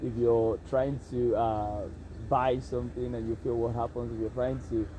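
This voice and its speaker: A man's voice: mid-range voice, little pitch movement, normal speed, background noise. A young Nigerian man with a Nigerian accent, vlogging in a hesitant, reflective way, full of fillers. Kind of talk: casual self-interview monologue.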